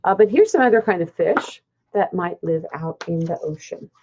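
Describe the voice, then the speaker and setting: A woman's voice: fairly low pitch, expressive, normal speed, a little mellow, dull audio, slight background noise. Woman, teacher read-aloud, children's science book, very varied intonation, simple explanatory delivery. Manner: explanatory, pointing things out simply.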